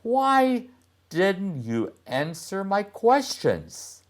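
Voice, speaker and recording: A man's voice: high voice, wildly swooping pitch, very slow, pitched above the performer's own voice, full character voice. A man voicing a curious, easily annoyed young boy in a children's storybook read-aloud.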